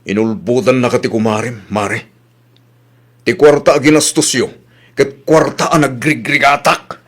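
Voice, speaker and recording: A man's voice: medium pitch, fairly even intonation, normal speed, close to an everyday voice. A man with an Ilocano accent playing an angry, exasperated husband in a comedy radio drama. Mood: stern, accusing. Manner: scolding, accusing, exasperated. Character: angry husband whose savings were spent.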